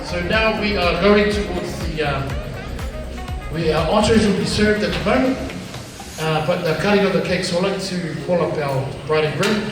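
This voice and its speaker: Joking wedding MC, echoey banquet hall. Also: male voice, high-pitched, normal speed. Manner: jokey, relaxed, hyping the crowd. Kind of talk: MC announcements at a wedding reception. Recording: echoey PA in a big hall, from far off. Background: music and crowd chatter.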